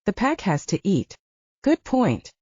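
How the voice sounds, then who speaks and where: Audiobook role: cautious, protective wizard man played by a woman, practical, varied pitch, mellow. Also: huge pitch swings, measured pace, breathy edge, dry recording, dull audio, heavily acted. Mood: wary. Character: wary male wizard, dutiful and protective.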